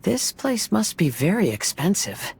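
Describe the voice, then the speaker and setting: A man voicing a calm, gentle young wandering samurai in a video game, soft-spoken and polite. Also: high-pitched, a little slow, gravelly, husky, heavily acted.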